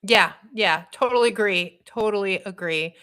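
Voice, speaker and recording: A woman hosts a casual podcast conversation. She agrees readily and talks in loose, rambling sentences with a relaxed, conversational feel. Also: mid-range voice, moderate pace, clean tone. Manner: agreeable, chatty, loose phrasing. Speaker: podcast host.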